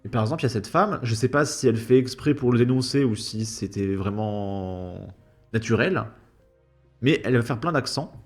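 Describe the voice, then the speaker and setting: A man's voice: mid-range voice, moderate pace, darkish tone, faint music behind. A man giving an opinion commentary, indignant and full of rhetorical questions. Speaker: YouTube commentator.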